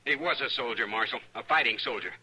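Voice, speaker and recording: An actor playing a bitter young man bent on avenging his father, with a rough-edged rural Western drawl, in an old-time radio western. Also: mid-range voice, fast talker. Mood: bitter, resolute. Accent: American rural Western drawl. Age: young adult. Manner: folksy, insistent, accusing. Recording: bright, lo-fi old radio broadcast.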